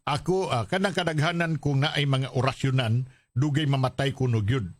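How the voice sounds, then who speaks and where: A man's voice: medium pitch, steady tone, moderate pace, no echo, clean studio sound. A man with a Filipino accent hosting a radio show, chatting casually and reading out listener messages. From Philippines. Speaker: radio drama show host and commentator. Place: radio studio.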